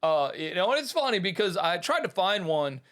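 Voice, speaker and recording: A man's voice: high voice, sing-song, moderate pace, fairly bright tone. A man hosting a podcast about the business of running a studio, talking casually and enthusiastically, rambling with restarts and calling things awesome. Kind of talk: casual talk to listeners.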